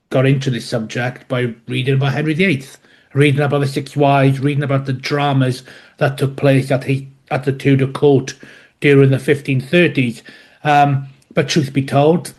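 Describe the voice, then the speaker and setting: A man with a Welsh accent speaks as a guest historian on a podcast, laying out his points in a measured, explanatory way. His humour is dry. His voice sits at an ordinary middle pitch. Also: a little mellow. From West Wales. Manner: measured, explanatory, dryly humorous. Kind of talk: podcast interview.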